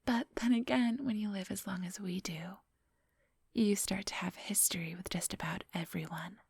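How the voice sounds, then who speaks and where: A woman playing a centuries-old vampire boss in an ASMR roleplay, whispery and breathy, speaking close to the microphone in a silky, patronizing, quietly menacing manner. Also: mid-range voice, fairly even intonation, no room sound. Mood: guarded, smooth. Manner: slow, unhurried, silky and patronizing.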